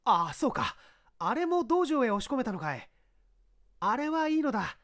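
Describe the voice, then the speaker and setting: Man playing a commanding police inspector, animated. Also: extremely high pitch, voice pushed up, full character voice. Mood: brisk. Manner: brisk, authoritative, giving orders. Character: shrewd, commanding middle-aged male police inspector. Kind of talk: dramatized mystery audiobook reading.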